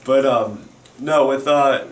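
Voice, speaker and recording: A man's voice: medium pitch, slow, far from the mic, slight background noise. A man co-hosting a podcast with casual, playful banter, recorded off the mic in an echoey room. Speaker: podcast co-host.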